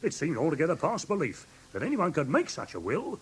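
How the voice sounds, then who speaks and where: An actor playing a flustered, credulous English pawnbroker in a British radio drama, hesitant and rambling as he tells his story, with a husky, rough voice. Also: fairly high pitch, wildly swooping pitch, brisk, heavily acted, low-quality recording. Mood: eager, bemused. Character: flustered, credulous red-haired male London pawnbroker, excitable storyteller.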